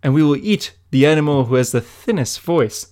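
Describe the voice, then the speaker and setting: A man voicing a cunning fox in a dark fairy tale that he reads aloud. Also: fairly high pitch, expressive, normal speed, close to an everyday voice. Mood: scheming. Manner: read-aloud storybook delivery.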